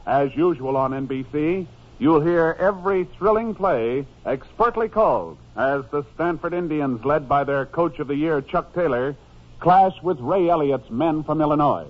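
A man's voice: fairly high pitch, lively intonation, normal speed. An American man making scripted announcements in 1950s radio style. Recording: dull old radio broadcast, music behind.

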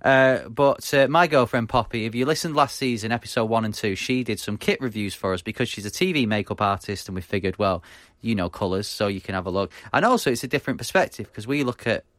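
A man's voice: lowish voice, fast talker, hardly any pauses, fairly bright tone, dry recording. A British man chats through a podcast segment in a hesitant way, stopping and restarting often. His voice is recorded close and dry, with no room sound. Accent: British English. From Britain. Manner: hesitant, many ums and restarts. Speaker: podcast host. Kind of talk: casual podcast chat.

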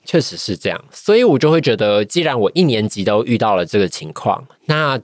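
Podcast guest: Taiwanese-accented man, lowish voice, hesitant and reflective, dry sound. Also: big pitch swings, a little fast, dry recording. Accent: Taiwanese Mandarin. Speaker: guest, voice-acting trainee. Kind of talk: reflective podcast interview chat.

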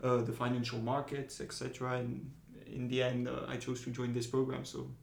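A man in his twenties who grew up in Asia, a student guest on a podcast, talking in a relaxed, straightforward way. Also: mid-range voice, a little fast, gravelly, echoey room. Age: 20s. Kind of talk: casual podcast interview.

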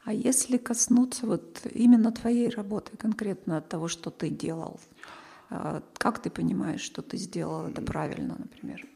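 Gravelly mellow-voiced woman asking questions. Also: lowish voice, normal speed. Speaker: HR interviewer. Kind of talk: interview questions.

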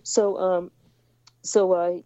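A woman's voice: fairly low pitch, level tone, extremely slow, warm tone, no room sound. A woman who grew up in the United States, chatting as a musician guest over a remote call, hesitant with many restarts and fillers. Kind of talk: casual interview chat over a remote call. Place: home in England.

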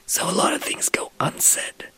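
A woman schoolteacher speaking in an effortful, breathy whisper with very little voice behind it. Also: moderate pace, pushed and bright, poor audio. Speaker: French immersion elementary teacher.

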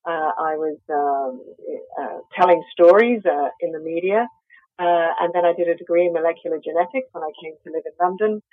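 A woman's voice: on the low side, fairly quick, no echo. An Australian woman, a company founder, being interviewed and talking with many hesitant fillers. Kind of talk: interview over the phone. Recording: tinny phone line, dry.

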